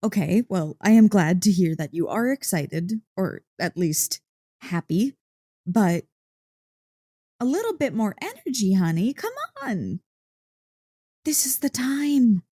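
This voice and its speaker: A woman playing an excitable, playful dog-girl girlfriend in an ASMR roleplay, gushing and teasing with mock indignation. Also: mid-range voice, slow, long pauses, breathy edge, no echo, studio quality, heavily acted. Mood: playful coaxing. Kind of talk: ASMR roleplay, one-sided conversation.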